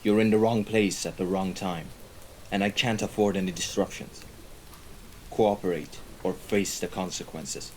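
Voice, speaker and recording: A man playing a composed, menacing mafia right-hand man in an audio roleplay, speaking in a formal, measured way. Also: low voice, steady tone, darkish tone, slight background noise, natural voice. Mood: stern, commanding. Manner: formal, measured, deliberate wording. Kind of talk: scripted audio roleplay acting. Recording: rain and ambience behind the voice.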